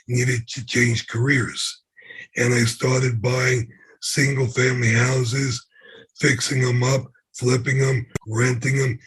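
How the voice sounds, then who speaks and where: Middle-aged New York man, very hoarse and raspy, slow deliberate pace, guest recounting his life. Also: medium pitch, fairly bright tone, rough recording. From Brooklyn, New York. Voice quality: hoarse, damaged voice. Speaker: podcast guest. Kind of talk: interview storytelling.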